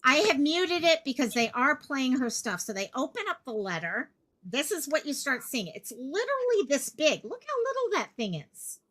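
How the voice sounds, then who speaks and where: Woman host of a live chat stream, chatty and friendly with viewers, a little high-pitched. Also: fairly bright tone. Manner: chatty, greets viewers by name.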